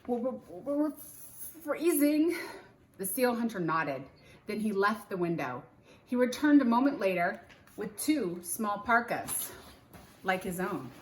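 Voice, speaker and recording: A woman reading a children's book aloud as a teacher, in deliberate, expressive storytelling phrasing with animated character voices. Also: mid-range voice, echoey room.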